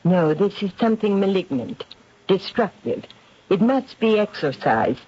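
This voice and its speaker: A woman's voice: mid-range voice, expressive, measured pace, dark tone, husky. An actress playing a self-assured aristocratic lady psychic, speaking in a dramatic, imperious manner in an old radio drama. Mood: grave. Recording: muffled 1970s radio recording.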